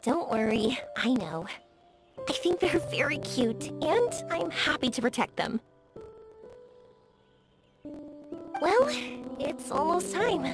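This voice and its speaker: A woman playing a bubbly, warm-hearted young woman in an anime-style video game, gushing and earnest in her delivery. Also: sits high, sing-song, a little slow, over music. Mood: gentle, content.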